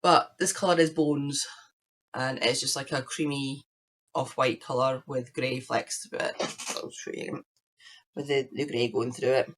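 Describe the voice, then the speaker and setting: A woman hosting her crafting podcast, chatting casually to camera with a croaky voice while she recovers from illness. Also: rumbling low, steady tone, unhurried, a little hiss or hum. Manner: chatty, rambling, shows knitting to camera. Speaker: podcast host.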